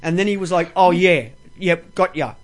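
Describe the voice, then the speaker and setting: Talkative, high-pitched male show host. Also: normal speed. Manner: talkative, coarse-mouthed. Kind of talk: rambling casual live talk show.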